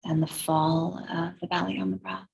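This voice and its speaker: Woman doing guided meditation, soft breathy voice w lots of fry, pretty even tone, long gaps between phrases. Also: deep voice, muffled recording. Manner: measured, instructive, with long pauses between phrases. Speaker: guided meditation and yoga teacher. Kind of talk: slow, soothing guided meditation.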